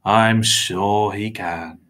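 Smug, meddling little male villain for a storybook , acted by a man; mock-polite, drawn-out menace, sharp tone. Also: on the low side, fairly even intonation. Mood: smugly jovial. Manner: soft-spoken menace, drawn-out mocking politeness.

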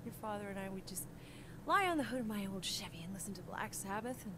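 A woman's voice: medium pitch, lively intonation, fast talker, a crowd in the background, close to an everyday voice. A woman playing a teasing, loving mother. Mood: playful. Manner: playful, teasing. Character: warm loving mother teasing her teenage son. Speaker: game character.

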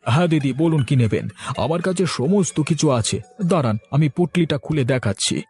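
A man's voice: fairly high pitch, expressive, normal speed, a little mellow, soft background music, natural voice. Man playing a coaxing village cloth peddler. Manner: coaxing, polite, sales patter. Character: friendly travelling village clothes seller, persuasive, folksy. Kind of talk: Bengali cartoon voice acting.

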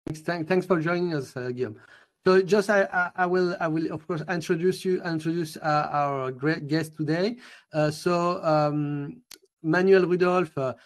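A middle-aged man hosts an interview over a video call, his voice somewhat high for a man. He introduces guests and hesitates, repeating words as he goes. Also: measured pace. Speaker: host.

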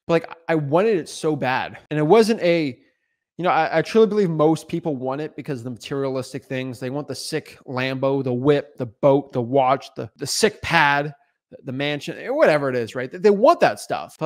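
A young man in his twenties giving an unscripted motivational talk as a real estate coach, intense and repetitive, recorded on a podcast mic in a small home studio. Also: mid-range voice. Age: 20s. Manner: intense, repeats himself, frequent "right?" and "like". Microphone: podcast mic on boom arm in front.

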